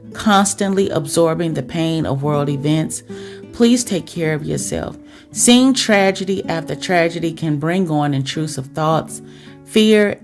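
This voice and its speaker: Lower-voiced woman hosting a chatty podcast. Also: darkish tone. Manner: confiding girlfriend-to-girlfriend address with rhetorical questions. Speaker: podcast host. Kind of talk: chatty podcast monologue addressed to listeners.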